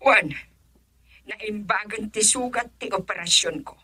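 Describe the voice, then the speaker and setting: A woman's voice: mid-range voice, unhurried, pushed and bright, breathy edge, no room sound. A woman playing an aggrieved, resolute mother in a radio drama, speaking in a firm, pleading way. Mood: grave.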